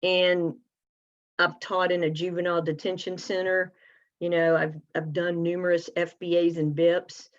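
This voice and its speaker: A woman's voice: lowish voice, little pitch movement, normal speed, muffled recording. A woman co-presenting at a teacher webinar, speaking in an earnest, plainspoken way. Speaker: co-presenter, special education teacher. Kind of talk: conversational webinar talk.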